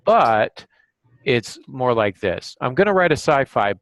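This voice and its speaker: A man hosting a podcast, giving casual writing advice in a halting way, as if thinking aloud. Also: medium pitch, very animated, no echo. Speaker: podcast host and novelist. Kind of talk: casual instructional discussion.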